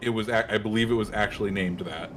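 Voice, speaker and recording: A man's voice: lowish voice, fairly even intonation, fast talker, a bit bright, a little hiss or hum. A man hosting a game livestream, commenting in a laid-back, wry way. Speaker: streamer host. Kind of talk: casual gameplay commentary.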